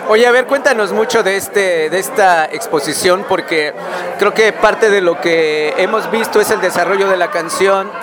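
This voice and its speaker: Mexican male radio host, high-pitched, informal and rambling, crowd noise clearly behind. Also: normal speed. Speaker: interviewer, show host. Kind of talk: casual radio interview.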